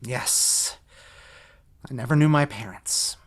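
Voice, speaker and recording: A man's voice: medium pitch, takes it slowly. A man playing a courteous, reassuring professional in an online table read of a stage musical, over a video call. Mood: quiet, reserved.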